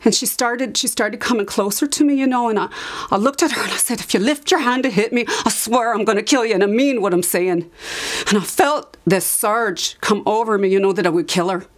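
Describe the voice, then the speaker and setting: An actress plays a wounded woman survivor recalling cruelty in a confessional dramatic monologue. Her voice sits at a middle pitch. She speaks haltingly, pressing on repeated phrases with hurt and defiance. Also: lightly acted. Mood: defiant, anguished. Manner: halting, emphatic repetition, sudden drops to whisper. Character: anguished woman survivor of an Irish Magdalene asylum, recalling abuse.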